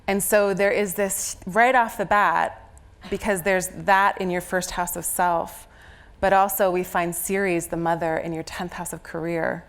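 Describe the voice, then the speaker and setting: A woman's voice: on the low side, fairly even intonation, a little slow, clear, resonant tone. A woman with a slight head cold, speaking conversationally on stage as an astrologer explaining a chart reading. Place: on stage at a live venue.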